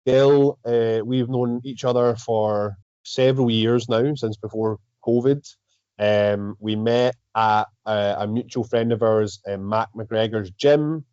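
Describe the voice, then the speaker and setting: A Scottish man hosting a casual interview, feeling his way haltingly through long, rephrased sentences. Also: medium pitch, steady tone, a little mellow, clear, resonant tone, no room sound, muffled recording. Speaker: host, strength coach.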